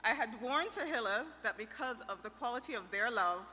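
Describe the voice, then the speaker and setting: A woman's voice: a little high, expressive, brisk, a little hiss or hum. A middle-aged woman with a Barbadian accent giving an earnest, emotional toast at a wedding reception. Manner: earnest, emotional, warm-spoken. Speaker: wedding guest giving a greeting. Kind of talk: heartfelt toast. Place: large reception hall. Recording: reverberant hall with faint ambience.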